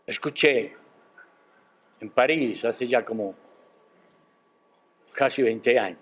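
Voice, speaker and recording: An older man giving a formal academic speech at a university ceremony, measured and emphatic, posing rhetorical questions. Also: mid-range voice, a bit bright. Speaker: guest speaker at a university ceremony. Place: university auditorium.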